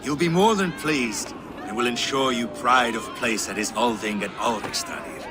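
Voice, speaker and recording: An actor voicing a measured male Viking counselor in a video game. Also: mid-range voice, lightly acted. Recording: game audio, distant with noise.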